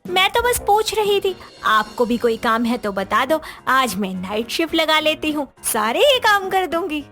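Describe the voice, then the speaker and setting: A woman's voice: high-pitched, big pitch swings, a bit bright, soft background music, heavily acted. A woman voicing an overworked, grumbling young daughter-in-law in a moral story. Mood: defensive. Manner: quick complaining, sarcastic. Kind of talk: Hindi animated moral story voice acting.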